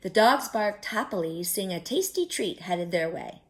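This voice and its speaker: Lady doing storytime for little kids, really expressive, takes it slow, explains things simply. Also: mid-range voice. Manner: explains pictures simply, sometimes dropping to a hush. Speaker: children's storyteller and teacher. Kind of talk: animated picture-book read-aloud with asides to children.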